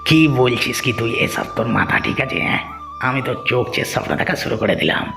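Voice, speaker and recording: A man's voice: medium pitch, huge pitch swings, quick pace, gravelly, in a large hall, over music. A man voicing a greedy, scheming village man in a Bengali cartoon. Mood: greedy excitement. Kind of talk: Bengali moral-story cartoon dubbing.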